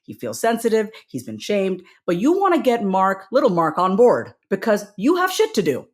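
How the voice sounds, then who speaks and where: A woman with a New York accent, a guest on a podcast, who coaches in an animated, encouraging way and laughs often. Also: medium pitch, normal speed. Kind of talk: casual podcast conversation.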